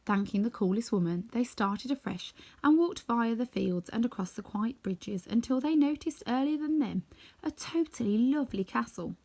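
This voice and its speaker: A woman reading a children's story aloud as scripted, expressive narration. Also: a little high, normal speed. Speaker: storybook reader.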